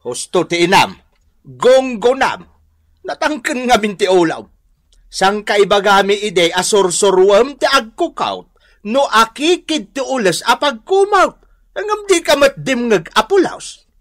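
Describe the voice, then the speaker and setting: A man's voice: high-pitched, very animated, unhurried, fairly bright tone, heavily acted. A man playing a stern, grumbling father of a grown daughter in an Ilocano-accented radio comedy drama. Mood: scolding.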